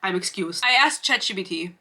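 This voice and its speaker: Bright-voiced German woman ranting. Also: medium pitch, fairly quick. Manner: sarcastic, animated, swearing freely. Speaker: book review YouTuber. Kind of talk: casual ranting vlog. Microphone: camera.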